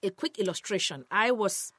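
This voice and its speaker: A woman's voice: medium pitch, expressive, moderate pace, a bit bright, breathy edge, no echo. A woman teaching the Bible in a sermon style, emphatic and earnest, speaking directly to her listeners. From Cameroon, West Africa.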